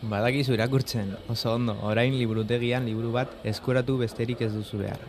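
A man's voice: medium pitch, fairly even intonation, fast talker, a crowd in the background, close to an everyday voice. A man playing a wry, bookish young university student in a radio serial. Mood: wry, teasing.

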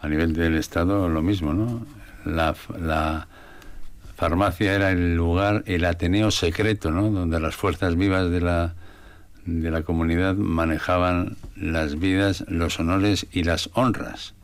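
A man's voice: low-pitched, steady tone, a little slow, no echo. A man hosting a radio interview, asking plain, inquisitive questions.

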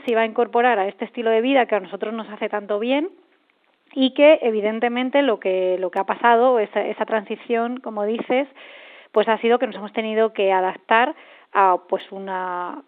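A woman, a traveling mother and author, explaining her family's life thoughtfully as an interview guest, with many pauses and fillers. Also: on the high side. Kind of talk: casual interview over phone. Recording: tinny phone line.

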